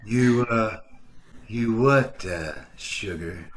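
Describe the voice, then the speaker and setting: Man playing slow, flirtatious radio comedy sweet-talker. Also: on the low side. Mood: coaxing. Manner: slow, wooing, sweet-talking. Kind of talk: old-time radio comedy acting.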